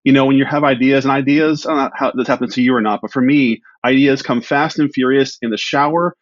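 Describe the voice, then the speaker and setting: A man with a medium-pitched voice talks casually as an interview guest, rambling in a self-deprecating way. The sound is close and dry, a little dull. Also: fairly even intonation, fast talker, no echo. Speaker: podcast guest, writer.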